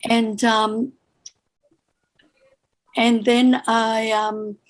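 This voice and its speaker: A woman in her sixties from Fiji, talking over a video call from her home, pausing often with ums as she gathers her thoughts. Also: fairly high pitch, flat delivery, rough recording. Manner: thoughtful, many ums and restarts. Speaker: guest, author. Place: home room with bookshelf. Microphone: video call webcam.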